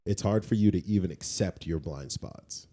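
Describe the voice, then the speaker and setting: A man's voice: low voice, moderate pace, warm tone. A man talking casually as a podcast co-host, informal and slangy, often repeating phrases for emphasis. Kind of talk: casual conversational talk.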